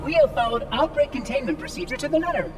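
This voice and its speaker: A haughty robotic caretaker from a sci-fi game, formal and fussy. The voice swings widely in pitch and sits back from the mic, with prominent music behind it. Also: male voice, lively intonation, quick pace, recorded from a distance. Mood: insistent, menacing. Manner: pompous, formal, wordy, affronted. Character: fussy, haughty floating AI machine caretaker of a ring installation. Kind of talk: cinematic video game acting.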